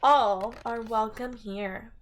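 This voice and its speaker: A woman's voice: a little high, fairly even intonation, slow. A woman teacher doing a read-aloud storytime for young children in a sing-song manner.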